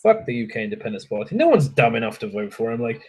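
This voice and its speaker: A young man talks to his stream viewers in a loose, ranting way. His voice is husky and sits at an ordinary middle pitch. He swears freely and laughs between points. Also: sing-song, fast. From England. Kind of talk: casual chat with viewers.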